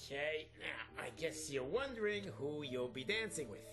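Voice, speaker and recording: A woman acting in a comedy radio play as a brisk, officious but polite audition official with a formal, businesslike manner. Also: low-pitched, lively intonation, music in the background.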